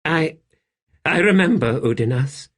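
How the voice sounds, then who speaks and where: A man's voice: on the high side, lively intonation, measured pace, fairly bright tone, voice pushed up, heavily acted. A man voicing a timid, obedient palace servant in an audiobook, earnest and hesitant. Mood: frightened. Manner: tentative, hesitant. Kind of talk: audiobook character acting.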